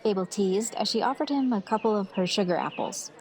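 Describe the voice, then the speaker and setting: A woman's voice: mid-range voice, brisk, darkish tone. Woman narrating fantasy, faint crowd behind. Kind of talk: scripted fantasy story narration. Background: festival crowd ambience.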